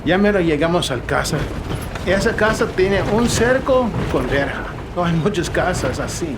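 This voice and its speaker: A man's voice: lively intonation, moderate pace. An actor playing a kindly, folksy truck driver and proud father who rambles along in an audio drama. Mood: chatty, friendly. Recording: noisy rumbling vehicle ambience. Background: truck engine and road noise.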